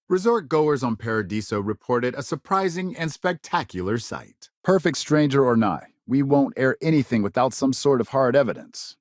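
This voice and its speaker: Emphatic male sci-fi news anchor, lively intonation. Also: mid-range voice, no echo, clean studio sound. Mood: curt, skeptical. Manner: measured, emphatic newsreader delivery. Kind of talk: scripted broadcast news narration.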